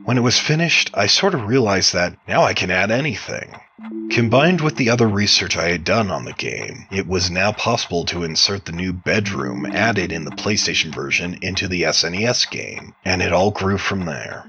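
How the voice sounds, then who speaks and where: A man giving measured, explanatory interview answers as a game modder, sounding like he reads from prepared text. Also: low voice, animated, dull audio, a crowd in the background.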